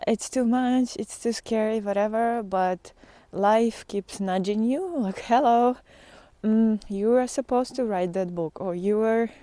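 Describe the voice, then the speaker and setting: A woman giving a casual, unscripted spiritual monologue in a reflective, halting way, often trailing into pauses. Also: fairly high pitch, mellow, no room sound. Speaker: spiritual teacher vlogger.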